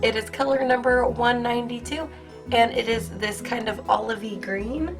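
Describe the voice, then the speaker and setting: A young woman hosting an art-supply unboxing video, hoarse from a cold and gushing with excitement in a casual, rambling way. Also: a little high, moderate pace, music in the background. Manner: bubbly, gushing, filler-heavy. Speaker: art channel host.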